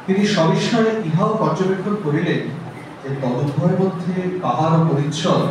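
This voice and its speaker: A man with a West Bengali accent reciting formal literary prose in a measured, declamatory way. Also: a little high. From Asansol, West Bengal. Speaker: workshop student. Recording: echoey noisy hall, distant mic.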